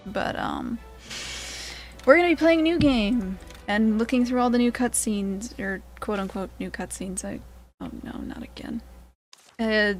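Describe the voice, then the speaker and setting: A young woman hosting a gaming channel, chatting casually over gameplay with dry, sarcastic asides. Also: medium pitch.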